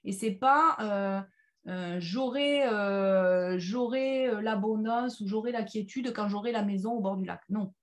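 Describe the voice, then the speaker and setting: Woman, medium pitch, workshop leader, informal explaining, halting delivery, emphatic key phrases, online session. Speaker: personal development workshop leader. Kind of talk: informal interactive online workshop talk with slides.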